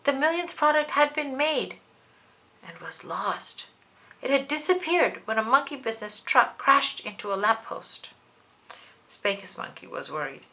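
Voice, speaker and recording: A woman's voice: on the high side, very animated, unhurried, bright, forceful tone. A woman reading a children's storybook aloud, narrating expressively and dramatically. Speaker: children's book reader.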